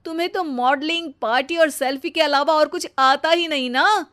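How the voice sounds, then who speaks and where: Woman acting an indignant husband, loud and high. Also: moderate pace. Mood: angry, scolding. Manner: loud, sharp, scolding. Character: indignant young husband scolding his spoiled wife. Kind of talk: melodramatic animated story voice acting.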